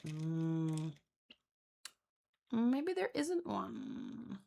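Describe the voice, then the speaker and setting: A woman's voice: low voice, wildly swooping pitch, extremely slow, vocal fry, warm tone. A woman giving casual reaction commentary as a host, thinking aloud in a musing, hesitant way and often repeating words. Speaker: host of a manga read-along.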